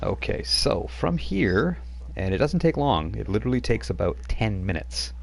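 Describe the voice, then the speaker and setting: A man's voice: fairly low pitch, sing-song, moderate pace, gravelly. A man narrating as game master of a tabletop role-playing game, rambling with many fillers.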